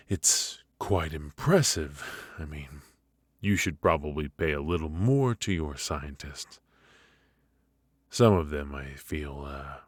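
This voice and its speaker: A man playing a teasing, menacing mafia boss in an ASMR roleplay, speaking in a halting, mock-polite, softly taunting way. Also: rumbling low, dry recording, clean studio sound, exaggerated voice. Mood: probing, amused.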